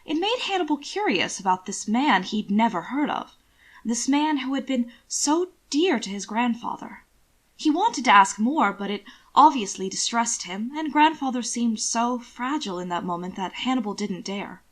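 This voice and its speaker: A woman reading scripted fiction aloud as narrator, in a measured, expressive storytelling manner. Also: a little high.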